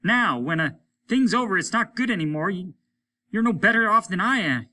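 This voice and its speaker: A man voicing a bitter, desperate dying spaceman in a story, forceful and needling. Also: high voice, animated, normal speed, pitched above the performer's own voice, exaggerated voice. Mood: bitter, mean. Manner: needling, insistent. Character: bitter dying male spaceman, jealous, mean, desperate, shouting. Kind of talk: dramatic audiobook character reading.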